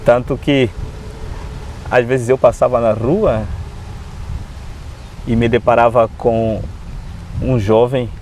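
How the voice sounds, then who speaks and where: A man from Africa with an African accent, a non-native Portuguese speaker, telling his testimony as an Adventist preacher in an animated way, often adding "né". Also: medium pitch, slow, pauses often, slight background noise. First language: not Portuguese. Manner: animated storyteller who often adds "né". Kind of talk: personal testimony in conversation.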